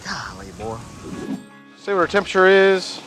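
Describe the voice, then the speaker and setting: A man's voice: high-pitched, sing-song, normal speed, over music. Folksy man cooking outdoors. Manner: folksy, chatty, says "y'all" often. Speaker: cooking channel host. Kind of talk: casual cooking vlog. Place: outdoors on a beach by the ocean. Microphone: clip-on lapel mic.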